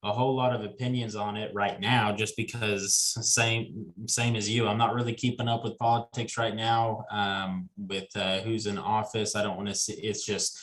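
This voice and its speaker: A man's voice: lowish voice, flat delivery, moderate pace, few pauses, a bit bright. A man in his twenties talking casually as a podcast guest, easygoing and rambling with restarts. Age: 20s. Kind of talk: casual conversation.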